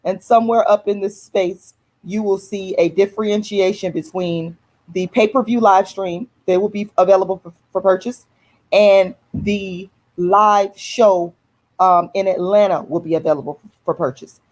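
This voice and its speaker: A woman's voice: mid-range voice, steady tone, normal speed, darkish tone, rough recording. A woman, casually announcing an event to viewers, repeating herself and addressing them as y'all. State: hoarse. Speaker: activist host of her own channel.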